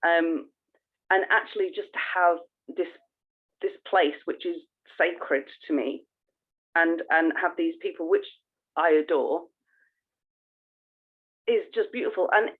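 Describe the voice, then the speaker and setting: A woman's voice: on the low side, animated, slow, pauses often. A woman co-hosting a podcast, chatting casually in an earnest, effusive manner. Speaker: podcast co-host. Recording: tinny phone-like call audio.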